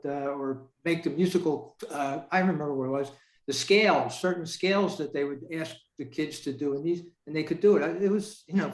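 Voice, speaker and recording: Guy asking questions on zoom call, kinda high voice, rambly, echoey. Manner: rambling, reworking the question as he goes. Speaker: interviewer. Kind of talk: casual unscripted interviewing. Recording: echoey video call audio.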